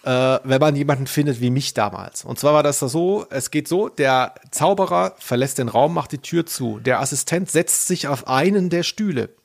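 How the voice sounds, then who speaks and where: A man's voice: fairly high pitch, moderate pace, gravelly, few pauses. A man hosting a podcast in casual conversation, telling personal anecdotes in a rambling, self-deprecating way. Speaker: podcast host.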